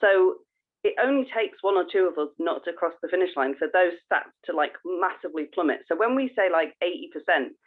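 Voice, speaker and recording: A woman co-hosting a podcast, chatting informally and explaining things with halting emphasis. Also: lowish voice, lively intonation. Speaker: podcast co-host. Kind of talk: casual podcast chat. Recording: thin phone-like call audio.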